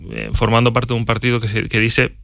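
Fast, forceful Canarian man debating. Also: mid-range voice, little pitch movement, no room sound, clean studio sound. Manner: argumentative, reasoned, with self-corrections. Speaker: political debate panelist. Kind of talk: televised political debate.

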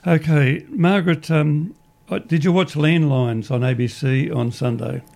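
Australian male community radio host, gravelly and croaky, rambling, upbeat announcements. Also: on the high side, steady tone, measured pace, darkish tone. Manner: rambling, restarts often, upbeat.

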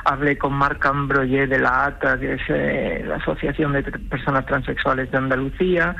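Creaky, bright-voiced woman. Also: extremely low pitch, normal speed, highs cut off. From Galicia, Spain. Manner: animated storytelling, acts out quoted dialogue. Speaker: interview guest, truck driver. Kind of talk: personal testimony in a radio interview.

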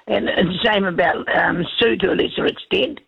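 A New woman rings in to talk radio, her voice croaky and gravelly with a breathy rasp. She chats in loose, repetitive restarts. The call comes through a dull, lo-fi phone line. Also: mid-range voice, moderate pace. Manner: chatty, repeats herself with restarts. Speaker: caller. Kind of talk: casual radio call-in chat. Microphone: telephone.

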